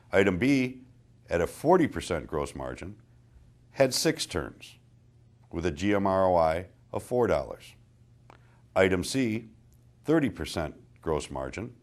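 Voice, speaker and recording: A man reading a scripted presentation in a deliberate, explanatory manner. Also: sits low.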